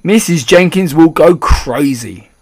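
Man acting an angry, blustering English father, high-pitched. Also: animated, unhurried. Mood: shocked. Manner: rude, shouting, spluttering, stammering when shocked.

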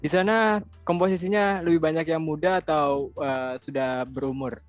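Higher-pitched Indonesian man, dry close sound. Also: fairly even intonation, moderate pace, dry recording. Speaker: co-host. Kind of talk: casual interview chat. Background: music.

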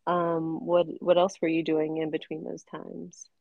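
A woman's voice: sits low, level tone, unhurried, vocal fry, dry recording, phone-quality sound. An American woman hosting a casual interview, chatty and laughing often. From United States. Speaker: podcast host.

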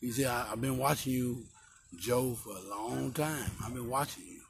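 A man in his sixties with a Texan accent, telling his life story as a guest in slow, drawn-out speech outdoors. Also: mid-range voice, fairly even intonation, creaky. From Texas. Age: 60s. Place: outdoors in a park. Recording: noisy outdoor recording.

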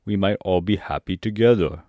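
A man's voice: low-pitched, fairly quick, a little mellow, dry recording, lo-fi sound, lightly acted. A man voicing a self-satisfied, practical scarecrow ruler in a storybook reading.

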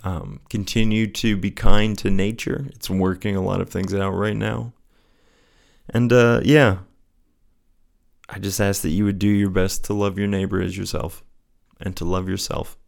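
A man speaks in a deep, soothing voice. His delivery is earnest and gently encouraging, in the reflective style of someone hosting a personal show about faith. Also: little pitch movement, a little slow, gravelly. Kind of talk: casual reflective solo talk with scripture reading.

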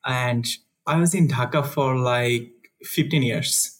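A young man from Bangladesh, a conservation researcher answering interview questions in a soft, easygoing way with frequent fillers and laughs. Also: mid-range voice, slow.

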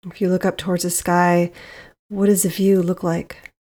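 A woman leading a guided meditation as host, speaking in a soothing, measured way with long pauses. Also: lowish voice, flat delivery, a little mellow.

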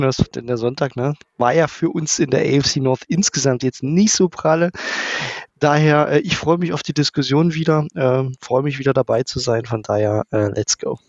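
A man's voice: medium pitch, dry recording. A man guesting on a casual podcast chat, hesitant and full of fillers, on a dull lo-fi remote line.

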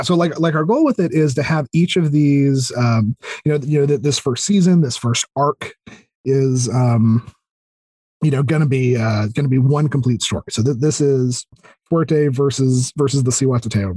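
A man's voice: mid-range voice, moderate pace, a little mellow, breathy edge, dry recording. A man chatting casually as a podcast guest, rambling and repeating words as he thinks aloud. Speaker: podcast guest, audio drama writer. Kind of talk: casual chat.

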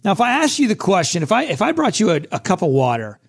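Male podcast host, fairly high voice, hoarse and scratchy today, chatty motivational delivery. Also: wildly swooping pitch, quick pace. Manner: casual and chatty, with many restarts.

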